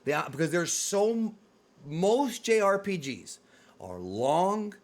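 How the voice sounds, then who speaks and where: A man's voice: sits high, sing-song, slow pace. A man hosting a casual, opinionated discussion, speaking emphatically and repeating himself to drive points home. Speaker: host of a video game and film talk show.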